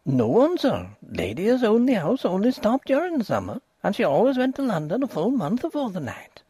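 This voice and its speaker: A man reading an audiobook, voicing a placid, superstitious old peasant housekeeper in rustic dialect, with a husky voice. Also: high-pitched, huge pitch swings, a little fast, warm tone, no echo, studio quality, pitched above the performer's own voice, exaggerated voice. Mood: insistent. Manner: rustic dialect grammar, grave and ominous. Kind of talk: audiobook character voice.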